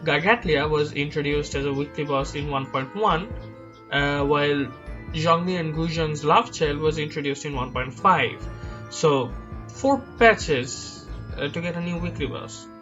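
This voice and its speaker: A man's voice: a little high, unhurried, a bit bright, highs cut off. Casual, hedging young-sounding gamer man. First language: not Chinese. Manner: casual, self-deprecating, hedging with many uhs. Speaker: gaming YouTuber. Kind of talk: speculative game commentary over slides. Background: background music.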